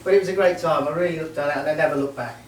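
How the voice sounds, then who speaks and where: A man's voice: a little high, steady tone. Best man, middle-aged, from Merseyside; fond, joking, lots of ums; distant mic, reverberant hall, light hiss. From Merseyside, England. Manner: warm, joking, halting with many ums. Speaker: best man giving a wedding speech. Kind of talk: wedding speech. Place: wedding reception room. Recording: roomy hall, mic far off, faint noise.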